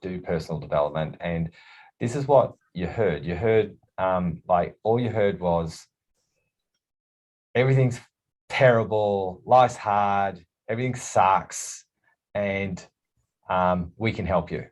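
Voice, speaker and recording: Deep-voiced podcast host. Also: male voice. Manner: loose, halting questions with many fillers. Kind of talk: casual interview chat.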